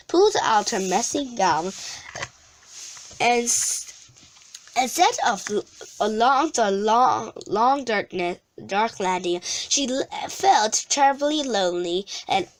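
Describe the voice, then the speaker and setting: A woman reading a children's story aloud expressively, halting and stumbling over words now and then. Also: on the high side, slight background noise, rough recording. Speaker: storybook reader.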